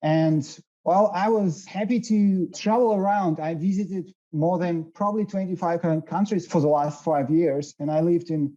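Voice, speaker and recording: Halting man on a call. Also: sits high, fairly even intonation, dark tone, muffled recording. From Russia. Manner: halting, searching for words, laughs easily. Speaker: guest, SEO director. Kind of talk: casual interview.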